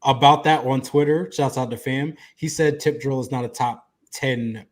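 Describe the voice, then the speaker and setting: A man's voice: medium pitch, steady tone, moderate pace. A man in his thirties in casual podcast chat, playful and emphatic. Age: 30s. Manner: playful, emphatic, hypes up songs. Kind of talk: casual chat on a live stream.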